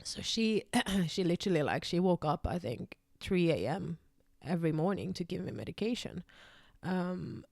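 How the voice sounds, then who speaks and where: Young woman, Swedish first language, raised in Finland; low-ish voice, dry close recording, rambling personal story. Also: animated, moderate pace, gravelly, darkish tone, dry recording. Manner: rambling, lots of fillers and restarts. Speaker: vlogger.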